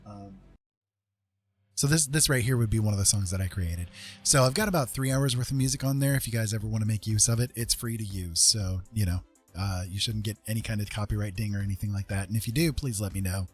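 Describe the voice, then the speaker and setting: A man with a husky voice hosting a casual livestream, talking in a rambling, joking way. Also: lowish voice, fast, soft background music. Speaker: streamer host.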